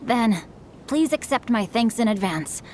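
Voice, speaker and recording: An actress playing a polite, refined young novelist in a video game, speaking with formal, gracious courtesy. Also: female voice, a little high, expressive, measured pace, darkish tone, slight background noise.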